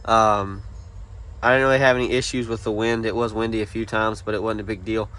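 A man's voice: fairly low pitch, flat delivery, a little fast, fairly bright tone. A young man talking casually and plainly in an unscripted, rambling way, as a car vlogger filming on a handheld phone. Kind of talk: casual unscripted vehicle walkaround. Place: outdoors in a driveway and at open car door. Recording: outdoor handheld recording, ambient noise.

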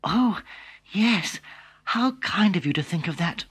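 A man's voice: high voice, expressive, slow pace, a bit bright, slightly rough, voice pushed up, heavily acted. A man voicing an eager, polite little girl in a storybook reading.

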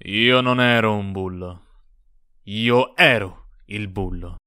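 An actor playing a self-important, brooding former school bully in jail, speaking theatrically with dramatic pauses in a comedy sketch. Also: male voice, on the low side, bright, forceful tone, clear, resonant tone. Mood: grave, self-important. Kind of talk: mock-dramatic confession to an interviewer.

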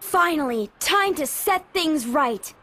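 High-voiced earnest young game hero. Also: female voice, lively intonation, slow, a little hiss or hum, exaggerated voice. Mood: earnest resolve. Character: young hero of a fantasy adventure, earnest and curious. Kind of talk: video game cutscene acting. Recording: loud music behind the voice.

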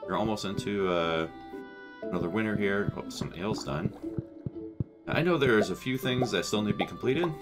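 Stuffy-nosed guy in his 30s rambling thru a farming game on a headset, deep voice, music in the background. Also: normal speed. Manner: relaxed, rambling, thinking aloud. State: congested from a cold. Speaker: gaming channel host. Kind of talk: casual let's play commentary. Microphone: headset mic. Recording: game music playing under voice.

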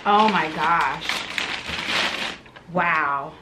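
A woman's voice: sits low, very slow, heavy background noise. A woman in her thirties talking casually to camera as she hosts an unboxing vlog, musing aloud in a conversational way. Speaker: content creator, video host. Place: living room, indoors.

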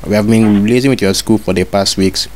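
A man's voice: fairly low pitch, steady tone, a little fast, background noise. A man making a prank phone call as a fake school inspector, bossy and repeating his orders over and over. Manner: bossy, repetitive, demanding orders.

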